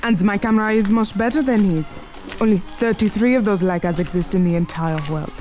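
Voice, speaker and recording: A woman's voice: mid-range voice, dark tone, faint music behind, natural voice. Actress playing a proud imperious Harlem queen. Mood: haughty commanding. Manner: imperious, cutting, deliberate. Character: proud commanding 1920s Harlem businesswoman. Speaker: lead character. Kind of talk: 1920s period drama acting.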